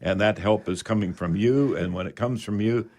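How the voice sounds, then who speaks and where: Radio host: older Canadian male, somewhat low voice, folksy and halting, casual talk-show delivery. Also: a little mellow.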